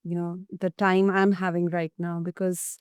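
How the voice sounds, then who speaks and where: A woman's voice: lowish voice, little pitch movement, moderate pace, darkish tone, clean tone, no echo. A woman hosting a podcast interview over a video call, affirming and enthusiastic. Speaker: podcast host. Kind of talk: casual interview talk.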